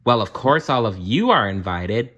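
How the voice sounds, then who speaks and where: A man voicing a sweet, modest young princess-to-be from a storybook, gentle and earnest. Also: on the low side, sing-song, moderate pace, lo-fi sound, heavily acted.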